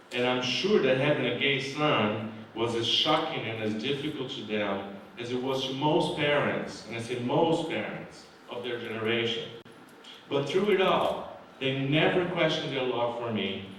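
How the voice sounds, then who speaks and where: A man's voice: medium pitch, fairly bright tone, recorded from a distance, slight background noise. Man giving a speech in an echoey hall. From Brazil. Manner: earnest, heartfelt, measured. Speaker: award honoree. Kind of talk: acceptance speech. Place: large hall. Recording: very echoey hall, mic from afar.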